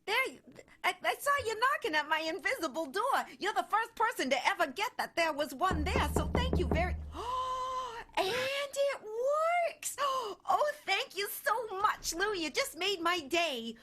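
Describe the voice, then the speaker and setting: A woman's voice: very high-pitched, very animated, measured pace, few pauses, sharp tone, a little hiss or hum, exaggerated voice. A woman playing an effusive, eager advice-giving character in an improvised radio soap, with guitar music behind her. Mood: flustered delight. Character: gushing, eager woman accountant who doles out advice.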